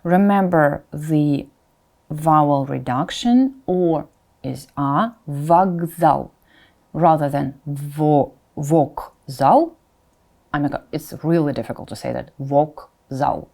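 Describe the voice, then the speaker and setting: A woman's voice: deep voice, takes it slowly, gravelly, a little mellow. A woman teaching a pronunciation lesson, repeating example words distinctly and checking in with learners informally. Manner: informal asides, checks in with "right?". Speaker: Russian language teacher.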